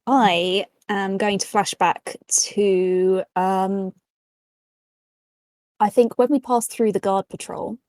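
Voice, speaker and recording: A woman's voice: medium pitch, fairly even intonation, slow pace, a little mellow, dry recording, studio quality. A woman talking casually as a player in a tabletop roleplaying game.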